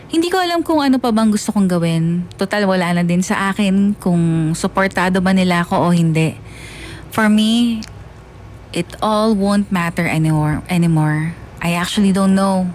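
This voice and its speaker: Bitter young Filipino son confessing. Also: male voice, high voice, measured pace, a little hiss or hum. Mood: bitter, brooding. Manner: confessional, mixes English and Tagalog. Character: bitter, wounded young son telling his story. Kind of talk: dramatised first-person letter narration.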